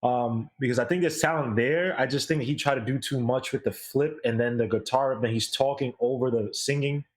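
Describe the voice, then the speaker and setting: A man's voice: mid-range voice, a little fast, hardly any pauses. A man on a music review panel giving his opinion in casual, conversational critique.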